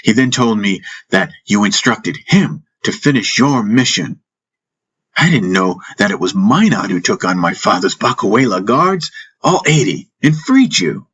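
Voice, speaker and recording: Male reader as a eager boy hero, raised pitch, earnest, insistent, easily indignant, music behind. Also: big pitch swings, measured pace, a bit bright, breathy edge, full character voice.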